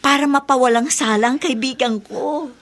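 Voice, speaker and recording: A woman's voice: high-pitched, normal speed, a bit bright. A woman playing a cheerful, polite younger woman in a Tagalog radio drama, bubbly in manner. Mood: pleased.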